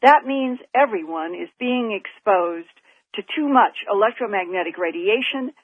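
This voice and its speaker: A woman science reporter reading a scripted radio report in a measured, deliberate way. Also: mid-range voice, expressive. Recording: dry phone-line audio.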